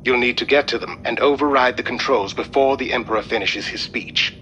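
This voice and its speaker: A man playing a businesslike mission-support guide, giving a brisk, informative briefing in a video game. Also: medium pitch, bright, forceful tone, highs cut off, a little hiss or hum, natural voice. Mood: focused.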